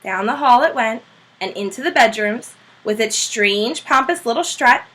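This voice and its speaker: A woman teacher reading a children's chapter book aloud with animated, expressive storytelling. Her voice is high and bright, and it rises and falls a great deal. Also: normal speed, low-quality recording.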